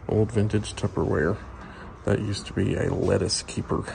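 Casting note: man, low gravelly voice, relaxed chatty vlog narrator on a phone in a busy shop. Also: moderate pace. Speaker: antique booth owner touring a mall. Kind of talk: casual walk-through vlog narration. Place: large indoor antique mall. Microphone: handheld phone camera. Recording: shop noise behind voice. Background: shop ambience.

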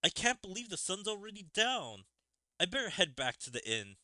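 A man's voice: sits high, sing-song, moderate pace, pushed and bright, no room sound, voice pushed up, heavily acted. A man voicing a weary, anxious young male hero from a fantasy game, reading the dialogue aloud.

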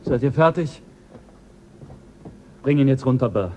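A man's voice: mid-range voice, a little mellow, a little hiss or hum, lightly acted. A man playing a commanding ship's doctor. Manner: brisk, giving orders. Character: commanding male ship's doctor, level-headed. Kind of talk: radio play acting.

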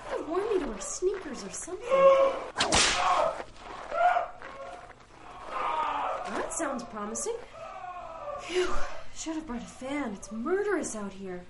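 A woman's voice: sits high, very animated, normal speed, heavy background noise. A woman playing a chatty, polite afterlife envoy in a comic fantasy audio drama, babbling and easily sidetracked. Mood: grumbling. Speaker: voice actor.

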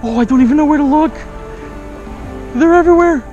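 A man's voice: fairly quick, mellow, muffled recording, soft background music. A young man vlogging from a kayak on open water, commenting in breathless, awed exclamations through an action camera. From raised in Oklahoma, born in Kansas. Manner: breathless awed exclamations, often rising high. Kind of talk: excited outdoor vlog commentary. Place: kayak on open sea, outdoors. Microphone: action camera mounted on kayak.

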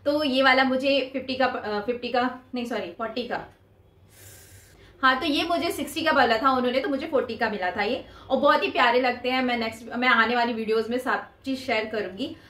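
A young woman chats casually through a shopping haul, holding each piece up to the camera as she talks. Her voice sits fairly high for a woman. The small indoor room gives it a noticeable echo. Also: brisk, a bit bright. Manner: chatty, showing items to camera with her hands. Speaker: vlogger.

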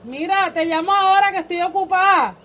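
A woman's voice: very high-pitched, brisk, sharp tone. A woman in her thirties with a Puerto Rican accent chats casually and playfully in slang, outdoors into a phone. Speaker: vlogger making prank calls. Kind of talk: casual chat to camera and on video calls. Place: outdoor porch at night. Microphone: phone at close range. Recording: noisy outdoor night recording with insects.

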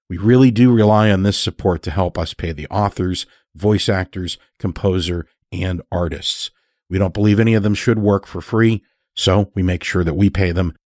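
A man hosting and narrating a horror fiction podcast, reading from a script in a measured, deliberate way. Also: on the low side, a little mellow, dry recording, clean studio sound. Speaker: podcast host and story narrator.

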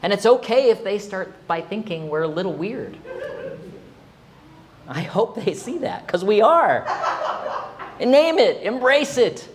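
A man in his forties, a pastor, preaching a sermon in a small church, expressive and at times emphatic. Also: measured pace, long pauses, slight background noise. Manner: expressive, rising to emphatic high exclamations. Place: small church sanctuary, indoors.

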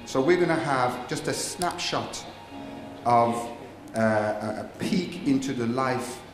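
A man's voice: medium pitch, lively intonation, slow. A man in his fifties leading a funeral service as pastor, telling stories and teasing the congregation with good humor. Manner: jovial storytelling, teasing the congregation. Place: large church hall with congregation. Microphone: microphone on a stand at the pulpit. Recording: echoey hall, mic from a distance, music.

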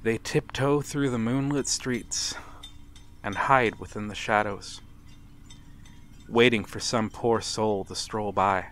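A man playing a brooding, courteous vampire with quiet menace in a soft-spoken, theatrical ASMR roleplay, dropping into whispers. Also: fairly low pitch, fairly even intonation, takes it slowly, a little hiss or hum. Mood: ominous, predatory. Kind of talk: ASMR roleplay, dramatic monologue.

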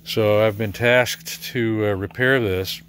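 A middle-aged American man giving a casual, halting, matter-of-fact walkthrough as a repair technician, filming in his workshop. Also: fairly low pitch, steady tone, a bit bright. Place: cluttered indoor repair workshop. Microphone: handheld camera or phone mic. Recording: faint background noise.

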